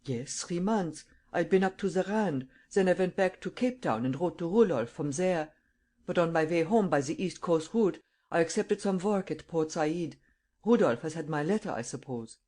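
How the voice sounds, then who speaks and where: A reader voicing an excitable, secretive old man with a German accent, who stammers and bursts into high exclamations. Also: moderate pace, lightly acted. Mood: explaining.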